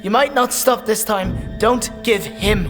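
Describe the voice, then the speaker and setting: An actor playing a humble, loyal young farmhand in a dark fantasy audio drama, with an earnest, anxious, pleading delivery. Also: male voice, high-pitched, unhurried. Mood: worried, resolute. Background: music.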